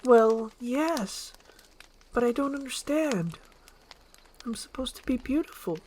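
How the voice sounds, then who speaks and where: A performer voicing a gentle, earnest young princess in a fairy-tale roleplay. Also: medium pitch, expressive, slow, slight background noise, pitched above the performer's own voice. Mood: confused.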